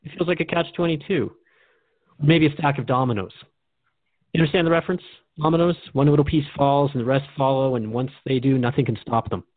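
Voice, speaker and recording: A man's voice: medium pitch, fairly quick, no echo. Reflective man, dry phone-like sound. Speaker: radio show host. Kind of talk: conversational radio drama dialogue.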